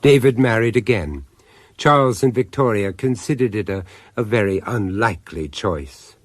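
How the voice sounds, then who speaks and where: A man narrating a radio horror drama as an urbane storyteller, deliberate and theatrical, pausing to savour each phrase. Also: fairly low pitch, animated, no echo. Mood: wry, urbane.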